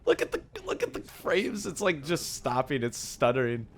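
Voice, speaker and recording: A young man with a raspy, sore-sounding voice, casually commenting on his gameplay, often breaking into excited yelps between low mumbles. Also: normal speed. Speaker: gaming YouTuber.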